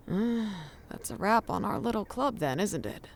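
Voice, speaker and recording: A woman's voice: medium pitch, expressive, slow pace. A woman playing a brash, jokey yet sentimental coworker in an audio drama, talking in a wry, casual way. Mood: wistful. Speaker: voice actor. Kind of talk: naturalistic audio drama acting.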